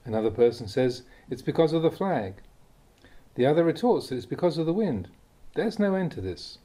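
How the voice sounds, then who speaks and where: A man reading Buddhist teachings aloud as a scripted narration, in deliberate, short phrases. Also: on the high side, big pitch swings, dark tone. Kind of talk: scripted audiobook reading of a Dhamma talk.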